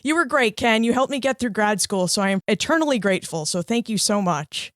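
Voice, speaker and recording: A woman's voice: fairly high pitch, animated, fairly quick, dry recording, clean studio sound. A woman hosting a history podcast, reading a scripted introduction and then welcoming and questioning her guest in an upbeat, friendly way. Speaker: podcast host.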